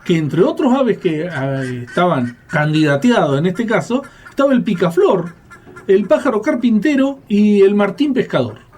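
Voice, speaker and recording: Casting: male Argentine radio host, high register, lively intonation, conversational explainer. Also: moderate pace, slightly rough. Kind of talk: conversational educational radio talk.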